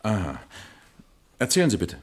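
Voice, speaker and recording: Businesslike police captain, low voice, official dictating manner, 1960s radio crime drama, clearly noisy tape. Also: male voice, huge pitch swings, normal speed. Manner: official, matter-of-fact, dictating. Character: methodical, businesslike middle-aged male police captain.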